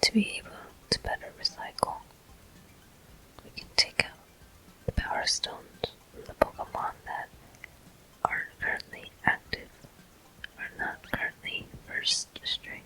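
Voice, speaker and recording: A person whispering unhurried ASMR commentary as the host of a video game walkthrough, in a soft, breathy, unvoiced voice. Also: poor audio.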